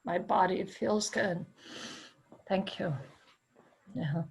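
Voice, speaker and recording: A woman's voice: mid-range voice, fairly even intonation, drawn-out words. A woman with a crackly voice, a client repeating phrases back during a tapping session. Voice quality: crackly, raspy voice.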